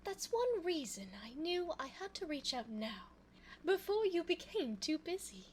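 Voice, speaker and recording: A woman playing an elegant, cunning vampire in an ASMR audio roleplay, speaking formally and coaxingly in a soft voice close to the microphone. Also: extremely high pitch, big pitch swings, a little hiss or hum, heavily acted. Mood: courteous, flattering. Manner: formal, genteel, slow, coaxing. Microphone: close binaural microphone.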